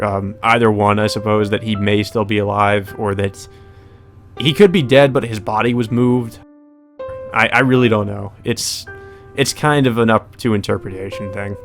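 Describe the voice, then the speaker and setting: A man's voice: lowish voice, normal speed. A man giving casual, hesitant, musing commentary as he hosts a playthrough of a visual novel. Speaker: let's play host. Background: music.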